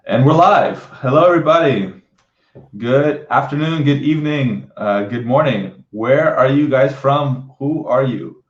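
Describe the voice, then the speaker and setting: A man's voice: mid-range voice, a little slow. A man hosting a casual live webinar interview in an upbeat, informal, chatty way. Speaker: webinar host. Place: indoor room, live online video call. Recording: roomy echo, dull lo-fi webcam sound.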